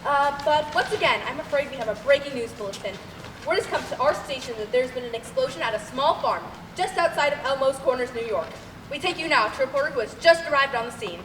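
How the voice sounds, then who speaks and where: Woman playing a florid high-voiced radio announcer. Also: animated, quick pace, a bit bright, far from the mic. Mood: solemn. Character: solemn, florid old-time radio news announcer. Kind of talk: mock 1930s radio bulletin on stage. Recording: noisy, echoey stage recording from afar.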